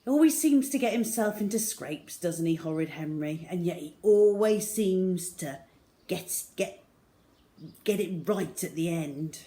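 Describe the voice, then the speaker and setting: A woman reading a story to children as host, dramatic, with a way of dropping into suspenseful hush. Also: on the low side, animated, measured pace. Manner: dramatic, with hushed suspenseful drops.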